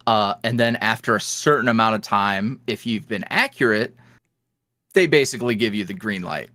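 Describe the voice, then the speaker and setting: A man as a podcast guest talking casually, animated and laughing often as he talks. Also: fairly low pitch, moderate pace, no room sound. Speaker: guest, ASMR roleplay creator.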